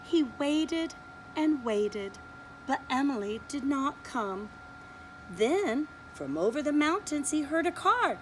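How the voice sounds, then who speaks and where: Slow, expressive woman reading to kids. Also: high voice, slight background noise, low-quality recording. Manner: slow, expressive read-aloud, showing pictures. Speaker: preschool teacher. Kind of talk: reading a picture book aloud to children.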